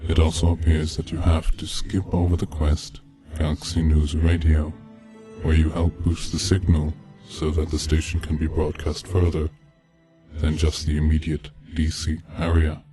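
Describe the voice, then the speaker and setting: A man reading a scripted narration in a measured, deliberate manner. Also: a bass voice, completely flat delivery, warm tone. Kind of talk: scripted narration of a video game mystery. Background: background music.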